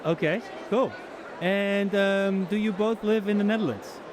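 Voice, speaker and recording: A fairly high-voiced man conducting a casual street interview, with short friendly questions. Steady noise runs under the recording. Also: a little slow. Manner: friendly, brief questions with ums.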